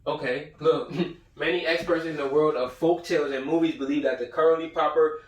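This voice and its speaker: A man's voice: on the high side, fairly even intonation, brisk. A man giving an informal lecture, reading passages aloud and commenting in blunt, profane slang, repeating himself for emphasis. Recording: roomy echo, far from the mic.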